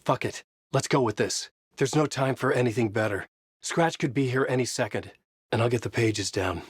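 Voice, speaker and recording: An actor playing a tormented thriller writer trapped in a horror story in a video game, with a grave, introspective, intense delivery and a husky voice. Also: male voice, medium pitch, normal speed, no echo, clean studio sound, close to an everyday voice. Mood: urgent, troubled. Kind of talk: brooding dramatic game dialogue and noir monologue.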